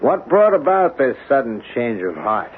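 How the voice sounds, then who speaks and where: A man's voice: medium pitch, very animated, normal speed, close to an everyday voice. Muffled, hissy old radio carries an actor as a male commanding officer. He sounds guarded and formal, with a mid-range voice that rises and falls as he probes. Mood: probing. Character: guarded male army colonel in charge. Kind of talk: 1970s radio drama acting. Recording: noisy lo-fi old radio broadcast.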